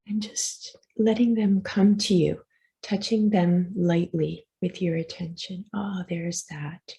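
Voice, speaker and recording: A woman leading a guided meditation as the teacher, speaking softly and reassuringly in gentle, unhurried instructions with pauses. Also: on the low side, steady tone, creaky.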